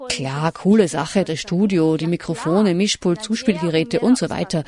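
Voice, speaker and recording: Brief: female narrator for a radio feature, a little low, measured and reflective. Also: dark tone. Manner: reflective, questioning, measured delivery. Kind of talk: scripted documentary narration.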